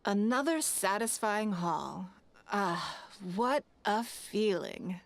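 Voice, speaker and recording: A woman's voice: mid-range voice, expressive, slow, creaky. Poised, elegant wealthy businesswoman. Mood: amused, teasing. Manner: composed, elegant, teasing. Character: wealthy, poised businesswoman, confident, playful and shrewd. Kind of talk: video game character dialogue.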